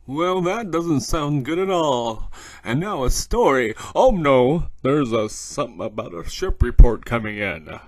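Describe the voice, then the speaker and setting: A man's voice: on the high side, animated, a little slow, no room sound. A man hosting a scripted fictional news podcast, with playful, mock-naive reactions between segments. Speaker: podcast host. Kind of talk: light scripted presenting of a fictional news show.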